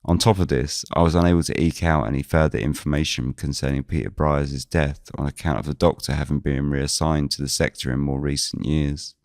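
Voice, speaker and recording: A man reading scripted first-person mystery narration in measured, formal phrasing. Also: very deep voice, fairly even intonation, darkish tone, no room sound, studio quality. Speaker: narrator and lead of an audio drama.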